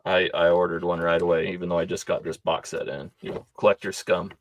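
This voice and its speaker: A man's voice: low voice, level tone, brisk, slight background noise. A man on a podcast chatting casually in a laid-back, hesitant way with many ums. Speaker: podcast guest.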